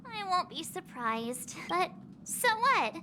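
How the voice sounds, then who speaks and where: A woman voicing a perky, naive teenage girl adventurer in a video game, chatty and expressive. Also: extremely high pitch, takes it slowly, slight background noise, exaggerated voice. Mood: reflective. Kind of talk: video game character dialogue. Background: game music.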